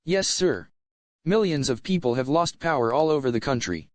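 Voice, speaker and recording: A man's voice: mid-range voice, animated, moderate pace, no room sound, close to an everyday voice. A man playing an eager, obedient hacker henchman in an amateur audio drama, speaking deferentially to his boss.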